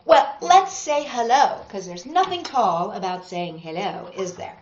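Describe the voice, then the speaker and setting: A woman hosting storytime for young children, talking and reading aloud in an expressive, unhurried way, addressing them directly. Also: mid-range voice. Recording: dull, roomy sound with faint music.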